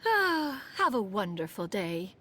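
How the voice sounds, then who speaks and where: A woman's voice: mid-range voice, sing-song, slow, pushed and bright, heavily acted. A woman voicing a refined, aloof inventor in a video game, with formal, haughty, old-fashioned diction and a proud but doting temper. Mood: pleased, instructive. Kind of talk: scripted video game character lines.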